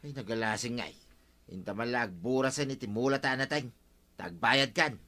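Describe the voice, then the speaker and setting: A man's voice: mid-range voice, moderate pace, pushed and bright, lightly acted. A man with an Ilocano accent playing a grumbling, henpecked village captain in a comedy radio drama.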